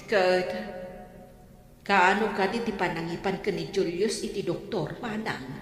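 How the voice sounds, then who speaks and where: An actress playing an inquiring, sympathetic younger sister in an Ilocano audio drama. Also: female voice, lowish voice, unhurried, natural voice. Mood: concerned. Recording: echoey hall reverb, music bed.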